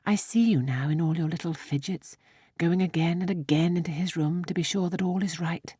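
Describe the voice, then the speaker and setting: Audiobook role: bright young lady, voiced by a female narrator, affectionate, thinking aloud, dry sound. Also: on the low side, fairly quick, husky, no echo. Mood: fond, amused. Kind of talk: audiobook character soliloquy.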